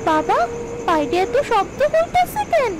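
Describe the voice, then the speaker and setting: A woman's voice: very high voice, very animated, measured pace, heavy background noise, exaggerated voice. A woman voicing a small, frightened farmer's daughter, in a childlike, pleading way for a cartoon. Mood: scared. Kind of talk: cartoon voice acting. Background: background music.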